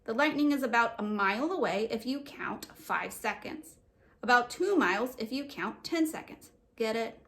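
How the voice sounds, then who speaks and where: A woman voicing an anxious, quiet young schoolgirl in a children's book read-aloud, subdued and earnest. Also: a little high, animated, moderate pace. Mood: confident, explaining. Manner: subdued, mumbling, explaining earnestly. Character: young schoolgirl Julie, anxious, quiet, bookish about weather.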